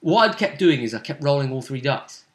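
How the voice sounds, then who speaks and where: A man chatting casually as a game master, recounting a tabletop session like an animated storyteller. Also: medium pitch, brisk. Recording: echoey untreated room.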